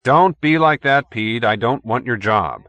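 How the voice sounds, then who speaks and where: A man's voice: medium pitch, lively intonation, measured pace, fairly bright tone, no room sound, dull audio. A man voicing an exasperated managing editor, brusque, bossy and skeptical, in an audiobook story.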